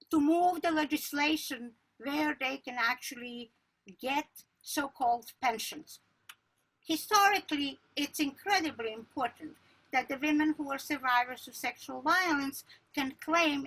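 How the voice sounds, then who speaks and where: A woman's voice: sits high, sharp tone, rough recording. A woman researcher, Albanian her first language, speaking at an academic panel in an earnest way, often stopping to correct herself. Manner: earnest, many restarts and self-corrections.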